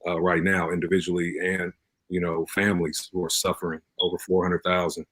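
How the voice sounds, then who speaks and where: Very deep-voiced man, earnest and even. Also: a little fast, no room sound. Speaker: interview guest, cast member. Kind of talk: earnest casual talk.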